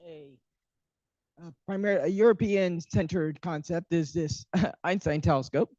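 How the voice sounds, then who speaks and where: A man's voice: fairly high pitch, steady tone, unhurried, darkish tone, no echo. A man giving a workshop lecture, hesitant with many ums and restarts, while losing his voice. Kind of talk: academic workshop talk. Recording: dull, muffled lecture audio.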